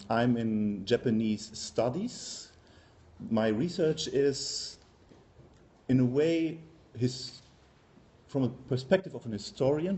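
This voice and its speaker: A man in his forties with a German accent, giving an academic conference talk in a hesitant, halting manner with frequent pauses. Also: mid-range voice, mellow, a little hiss or hum. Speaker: university professor, conference speaker. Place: lecture room, indoors.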